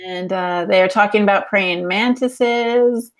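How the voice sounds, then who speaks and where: A woman's voice: medium pitch, measured pace. A woman co-hosting a podcast in casual chat, with a chatty, effusive way of talking. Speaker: podcast co-host.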